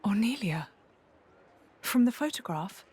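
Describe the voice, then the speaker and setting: A woman's voice: medium pitch, expressive, measured pace, darkish tone, slightly rough. Earnest, modest young woman. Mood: curious, friendly. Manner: polite, hesitant, sincere. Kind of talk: video game cutscene acting.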